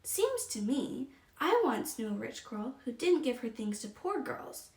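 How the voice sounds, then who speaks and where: A woman's voice: on the high side, sing-song, measured pace, darkish tone, roomy sound. A female reader playing an earnest, curious young girl in a storybook, reading her lines with care. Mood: thoughtful.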